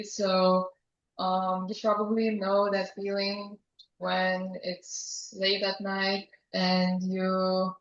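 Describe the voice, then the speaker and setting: A young woman from Lithuania, a student presenter speaking straight to the audience. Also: mid-range voice. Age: young adult. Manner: addresses the audience directly. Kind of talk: conference presentation. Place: conference hall. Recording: echoey hall, muffled mic.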